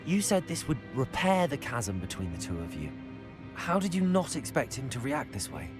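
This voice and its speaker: A man's voice: mid-range voice, huge pitch swings, moderate pace, over music. A young man playing a level-headed, curious teenage wizard in a video game. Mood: questioning.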